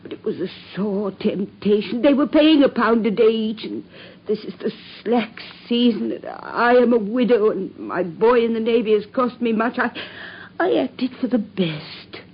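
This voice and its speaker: A woman's voice: a little high, normal speed, breathy edge, dull audio. A distraught widowed landlady. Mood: distressed. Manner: distressed, pleading, breaking into tears. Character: anxious widowed landlady, middle-aged mother. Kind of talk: radio drama acting.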